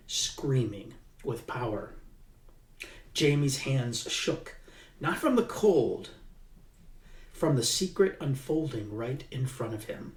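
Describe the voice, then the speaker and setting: A man, an author, reading his own novel aloud in an unhurried way, pausing with fillers as he chats and leaning into the tense passages. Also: medium pitch. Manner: unhurried, with halting fillers in the chat and livelier emphasis in the reading. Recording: echoey room, mic at a distance.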